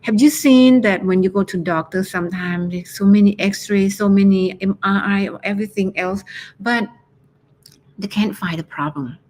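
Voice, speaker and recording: A woman from Thailand with a Thai accent, speaking as a life coach in a solo motivational talk, pausing often and searching for words. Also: medium pitch, a little mellow. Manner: hesitant, searching for words, many fillers.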